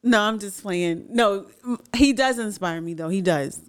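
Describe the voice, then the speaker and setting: A woman's voice: medium pitch, unhurried. A woman co-hosting a casual chat about viewer questions, playful and teasing, laughing often. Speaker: co-host.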